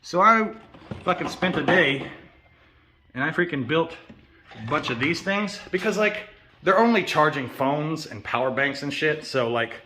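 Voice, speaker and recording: A man's voice: on the high side, sharp tone, a little hiss or hum. An American man talking in a rambling, casual walk-and-talk vlog, swearing often in slangy, easygoing speech. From United States. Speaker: vlogger.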